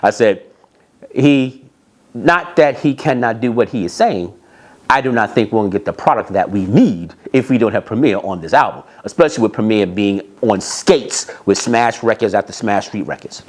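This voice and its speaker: A man telling anecdotes in an animated, emphatic way, repeating phrases and acting out bits of dialogue. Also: on the low side, normal speed. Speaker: storyteller, music industry executive.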